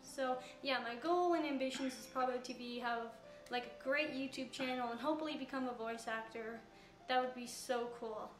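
A teenage girl from Canada with a high-pitched voice, chattily answering fan questions in a casual Q&A. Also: fairly even intonation, moderate pace, roomy sound. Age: teens. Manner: chatty, rambling with fillers. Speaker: YouTuber answering Q&A. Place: room with couch, recorded in a reacted video.

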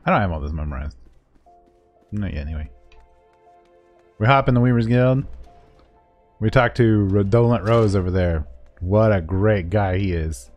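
Guy w lowish voice doing a goofy casual game walkthrough, bit of music in the background. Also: expressive, unhurried, pauses often, darkish tone. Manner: playful, informal, voices paraphrased dialogue and silly sound words. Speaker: gaming video creator giving a quest guide. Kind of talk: casual, jokey walkthrough commentary over gameplay.

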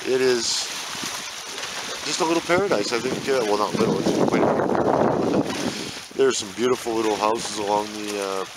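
A man's voice: fairly low pitch, normal speed, long pauses. A man vlogging while fishing on a rocky lakeshore, chatting upbeat to his viewers with lots of fillers. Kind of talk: casual chat to camera. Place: rocky lakeshore outdoors, windy. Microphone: camera mic in strong wind. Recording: loud wind and waves on mic.

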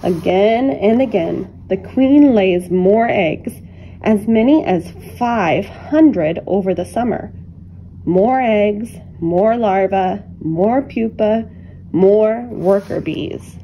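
A woman reading a children's picture book aloud with deliberate, expressive phrasing and dramatic pauses. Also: mid-range voice, slight background noise, rough recording. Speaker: children's storybook reader.

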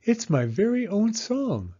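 Man putting on an eager, high little girl mouse. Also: slow, husky, highs cut off, full character voice. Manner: singsong, excited. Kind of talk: children's storybook reading.

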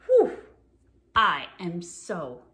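A woman's voice: on the low side, huge pitch swings, very slow, pushed and bright, slight background noise. A woman in her thirties reading a children's picture book expressively, with chatty asides to young viewers. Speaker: read-aloud host. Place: bedroom, indoors. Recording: roomy bedroom echo.

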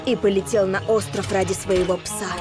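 A woman's voice: mid-range voice, flat delivery, normal speed, over loud music, lightly acted. An actress voicing a glamorous, flirtatious female show dog, dubbed for a film trailer. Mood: sultry. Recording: dialogue over loud score.

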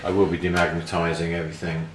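Male hobbyist repairer, deep-pitched, matter-of-fact, talking through his work in an echoey room. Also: level tone, quick pace, background noise. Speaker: repair hobbyist demonstrating a restoration. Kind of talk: casual hands-on tutorial commentary, thinking aloud while working. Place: indoors at a repair workbench. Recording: roomy, clear handling clatter and clicks.

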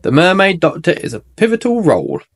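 A man's voice: on the high side, huge pitch swings, brisk, creaky, husky, full character voice. Man playing a kind gazelle teacher.

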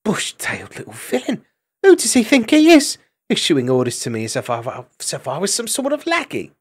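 A man's voice: big pitch swings, moderate pace, fairly bright tone. A man voicing a prim young female hedgehog maid who scolds and bristles easily. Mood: seething. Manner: prim, scolding, shrill when angry.

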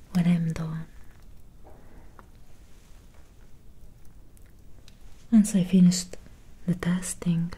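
A young woman playing a soothing, gentle Victorian vampire doctor in a breathy ASMR whisper. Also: fairly low pitch, exaggerated voice. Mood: reassuring. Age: young adult. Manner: slow, soothing, lingering pauses. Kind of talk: ASMR roleplay. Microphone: close ASMR microphone.

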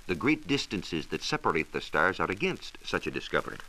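An American man explaining science in a measured, precise, didactic way, in a scripted 1940s radio style. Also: deep voice, sing-song, bright, forceful tone, poor audio. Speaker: science reporter explaining astronomy. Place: radio studio. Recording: hissy 1940s radio transcription disc.

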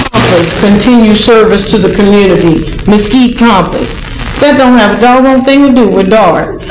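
Chatty woman at a public podium. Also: mid-range voice. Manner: chatty, rambling, folksy. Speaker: bus rider speaking at public comment. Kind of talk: public comment at a board meeting. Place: large council chamber. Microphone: podium microphone, heard from a far camera. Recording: echoey chamber with background noise.

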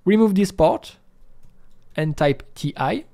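A man's voice: high-pitched, animated, slow, creaky, warm tone. A middle-aged man presenting a programming screencast, explaining each step in an instructional way.

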